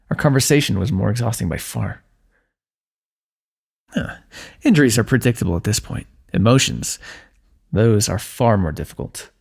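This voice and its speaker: Gravelly guy doing soft affectionate asmr roleplay, lots of playful teasing. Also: mid-range voice. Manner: soft, teasing and affectionate, with frequent light laughs. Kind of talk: intimate ASMR fantasy roleplay.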